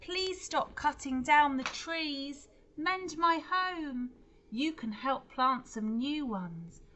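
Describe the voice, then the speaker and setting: Alarmed storybook protesters, each acted by a woman, call out their demands in a high voice. The delivery is loud and emphatic, over faint background noise. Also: animated, slow pace, exaggerated voice. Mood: urgent, alarmed.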